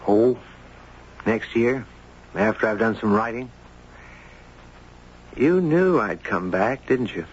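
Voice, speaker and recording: An actor playing an earnest, gentle writer who narrates a 1970s radio drama in a measured, reflective way. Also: male voice, on the low side, animated, slightly rough, exaggerated voice. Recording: muffled, noisy old radio recording.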